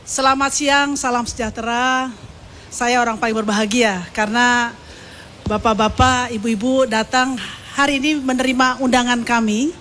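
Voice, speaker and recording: A woman with a high voice addresses guests in a semi-formal welcome speech. She speaks off the cuff in a halting, conversational way, pausing to find her words. Clear background noise from a live event sits behind her. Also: fairly even intonation, a bit bright. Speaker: media company executive giving a welcome speech.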